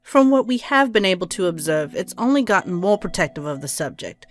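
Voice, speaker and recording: A woman's voice: lowish voice, big pitch swings, fairly quick. An actor playing a cold, impatient woman doctor in an audio drama, curt, clinical and scheming. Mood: calculating.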